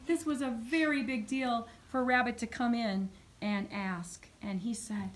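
A woman music teacher narrating a puppet show for young children in an expressive storytelling manner, recorded on a phone in a classroom. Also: fairly high pitch, unhurried. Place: medium-sized school music classroom. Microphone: phone camera a few metres away. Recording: noisy classroom phone video.

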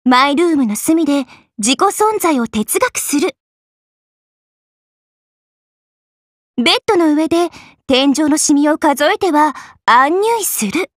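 A woman voicing a mischievous, smug AI girl in an anime game, with a cutesy, theatrical announcer's delivery and teasing drawn-out words. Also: sits high, animated, dry recording. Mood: perky, teasing. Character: teasing, mischievous AI girl, self-styled adorable junior. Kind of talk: Japanese anime game voice acting. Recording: studio voice over background music. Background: music.

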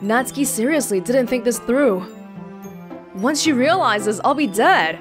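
Female let's play host, higher-pitched, unhurried and expressive, music playing clearly behind. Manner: playful, expressive. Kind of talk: reading a visual novel aloud with casual commentary.

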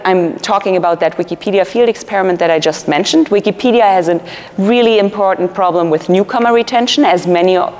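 A woman's voice: medium pitch, darkish tone, slight background noise. A woman researcher giving a conference keynote from a podium, explaining earnestly with fillers and restarts. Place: large conference hall, at a podium. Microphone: podium mic, filmed from a far camera. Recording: long hall reverb.

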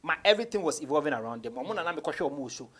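A young Ghanaian man, a rapper being interviewed, talking casually in a husky voice and mixing English with Twi. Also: on the high side, lively intonation, racing. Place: small radio studio office.

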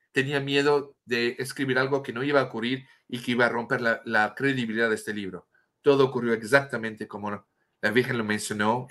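A man's voice: medium pitch, sharp tone. A middle-aged French man speaking Spanish with a French accent, a guest author telling a story earnestly on a video call from home, often restarting words as he searches for the Spanish term. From France. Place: home room with a bookshelf and statue. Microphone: webcam on a video call.

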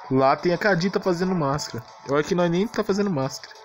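Brazilian game commentator, mid-pitched, casual and grumbling, light music underneath. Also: fast talker. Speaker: gamer, video creator.

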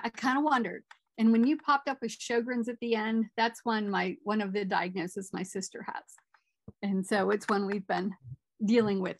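A woman's voice: medium pitch, fairly bright tone, no room sound. A middle-aged woman moderating a conference Q&A over a video call.

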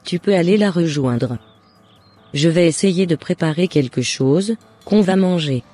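Mellow, husky older mother played by a woman. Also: lowish voice, lively intonation, measured pace, faint music behind. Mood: approving.

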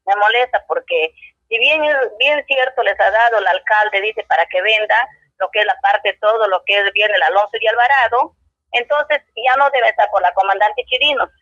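A woman's voice: mid-range voice, normal speed, fairly bright tone, dry recording. A woman shop owner with a Peruvian Spanish accent, speaking in a rambling, indignant way in a street interview. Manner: rambling, indignant, with many restarts. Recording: dull dry lo-fi sound.

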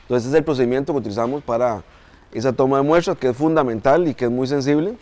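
Picture a Costa Rican engineer in his forties, talking through a step-by-step technical procedure. His delivery is measured and methodical, at an everyday middle pitch. He speaks inside a large warehouse of a coffee mill. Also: a little mellow. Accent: Costa Rican Spanish. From Costa Rica. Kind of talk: explanatory interview.

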